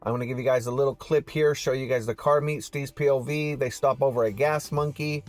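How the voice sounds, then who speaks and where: A man's voice: medium pitch, fairly even intonation, a little fast, darkish tone, soft background music. A man hosting car news on YouTube in a casual, slangy vlog style, talking straight to his viewers.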